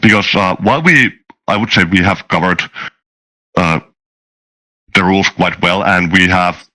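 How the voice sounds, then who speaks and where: A man's voice: low voice, measured pace, bright, forceful tone, low-quality recording. A man in a casual panel discussion, speaking in a hesitant, stop-start way and repeating words. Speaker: game designer with a software background.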